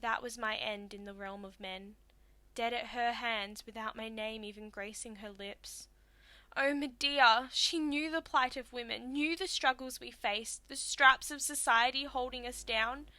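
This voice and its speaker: A woman's voice: mid-range voice, dry recording, close to an everyday voice. A woman performing a theatrical monologue as a tragic young Greek princess, wronged and anguished, with impassioned, declamatory delivery. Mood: agonised, accusing. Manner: impassioned, declamatory, swinging from hushed to outcries.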